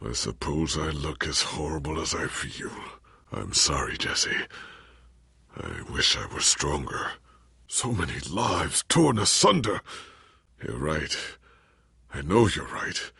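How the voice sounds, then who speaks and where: A humbled, bewildered old-school warrior from a video game. His voice is very raspy and gravelly, delivered slowly in a dry recording. He speaks formally and earnestly, with dramatic weight. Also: a bass voice, very animated, pushed and bright, dry recording, exaggerated voice. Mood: weak, regretful. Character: weary, confused, humbled male warrior hero.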